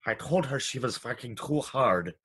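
A man playing a husky, sweet old clockmaker. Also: medium pitch, animated, pushed and bright. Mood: genial. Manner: genial, chuckling, deliberate shopkeeper politeness. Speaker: actor in live radio theatre. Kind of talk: vintage radio play acting.

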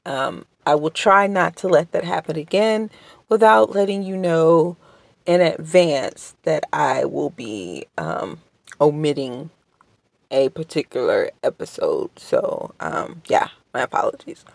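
A woman hosts her podcast alone from home, her voice strained because she is under the weather. She talks slowly and politely, with lots of hesitations and restarts, in a gravelly voice with vocal fry. The sound is dry and close to the mic. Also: sits low, animated, no echo. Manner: slow, hesitant, many ums and restarts; polite and apologetic. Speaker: podcast host. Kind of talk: casual solo podcast monologue. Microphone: home podcast microphone.

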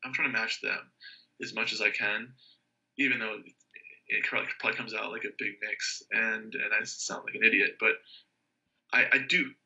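A man's voice: fairly low pitch, fairly even intonation, moderate pace, bright, forceful tone, dull audio. A man chatting casually as a guest, thinking aloud and rambling with restarts. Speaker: language learner guest. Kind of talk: casual conversation.

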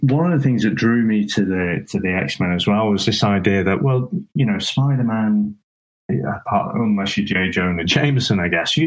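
A man co-hosting a casual film review talk, explaining things in a halting, conversational way with tag questions. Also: sits low, fairly bright tone, rough recording. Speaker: film review podcast co-host.

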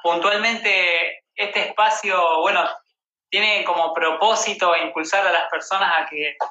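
A man's voice: high-pitched, bright, forceful tone. An Argentine man hosting a casual livestream interview. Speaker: host interviewing a guest. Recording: echoey room, dull livestream audio.

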